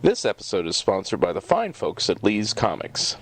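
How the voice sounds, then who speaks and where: A man's voice: fairly low pitch, wildly swooping pitch, moderate pace, breathy edge. A man in his fifties hosting a podcast, giving announcements and putting questions to a guest. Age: 50s. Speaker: podcast host.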